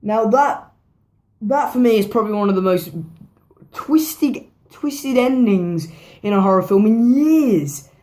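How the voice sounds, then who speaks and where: A woman talks through a horror film in a loose, chatty review, her voice hoarse and worn down. She rambles, restarts her sentences and drops swear words without a second thought. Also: fairly high pitch, animated, a little slow, warm tone. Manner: rambling, swears freely, repeats herself. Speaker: movie reviewer. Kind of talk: casual movie review vlog.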